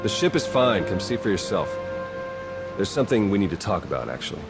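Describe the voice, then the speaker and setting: Game cutscene rogue agent, very low male voice, dry and sardonic, music underneath. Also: sing-song, fairly quick. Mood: dry, relieved. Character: weary, wry male rogue spy agent. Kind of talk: cinematic video game dialogue.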